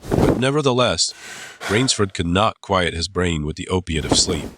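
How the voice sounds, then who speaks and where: A man narrating a dramatized audiobook as a storyteller, measured and suspenseful. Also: deep voice, expressive, a bit bright, slight background noise.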